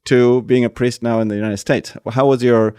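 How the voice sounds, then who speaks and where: A man's voice: medium pitch, steady tone, moderate pace, a little mellow, clean tone, no echo, clean studio sound. A man hosting a conversational interview, often checking in with short tag questions. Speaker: podcast host.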